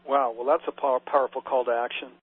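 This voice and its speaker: A man hosts a call-in panel in a relaxed, filler-heavy way, with a flat and steady delivery. His husky voice comes through a tinny, phone-like line. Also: mid-range voice, fast. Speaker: radio program host.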